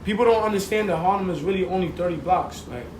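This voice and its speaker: A man's voice: high voice, fast, a little mellow. A young man with a New York accent chatting casually in heavy slang, often checking that he is understood. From New York City. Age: young adult. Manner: slangy, frequent check-in tags. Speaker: podcast guest. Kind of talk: casual conversational interview. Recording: roomy echo, clear background noise.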